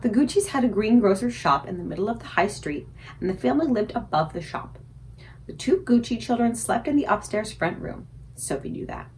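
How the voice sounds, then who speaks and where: A woman reading a children's story aloud expressively, with dramatic, suspenseful emphasis and deliberate pauses. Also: mid-range voice, lively intonation, a little mellow, slight background noise, poor audio. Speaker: librarian reading aloud for a book club.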